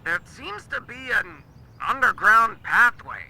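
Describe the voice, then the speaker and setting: Man as a sharp, high radio officer. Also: animated, slow, full character voice, rough recording. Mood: official, urgent. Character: alert police officer reporting by radio. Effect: walkie-talkie radio. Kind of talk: children's audio drama acting. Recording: buzzy walkie-talkie with faint noise.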